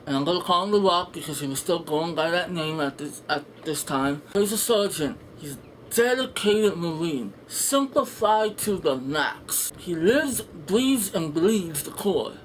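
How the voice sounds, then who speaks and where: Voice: mid-range voice, animated, a little slow. A casual video reviewer with a mid-range voice rambles through strong opinions. The delivery is blunt, sarcastic and sweary. Faint room noise and ambience sit behind the voice. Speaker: film reviewer, video host. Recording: noisy home room with background ambience.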